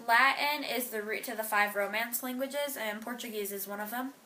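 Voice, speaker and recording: Chatty teenage girl with a bright voice. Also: on the high side, normal speed. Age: teens. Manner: chatty, filler-heavy, trailing off. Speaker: interviewee. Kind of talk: casual interview answers.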